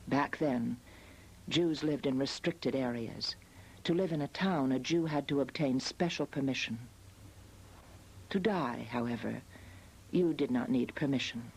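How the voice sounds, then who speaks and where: A woman from the United States narrating a scripted personal documentary as a photographer, in a measured, reflective manner. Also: extremely low pitch, darkish tone, low-quality recording. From United States, after early childhood in Australia. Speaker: photographer narrating her family history.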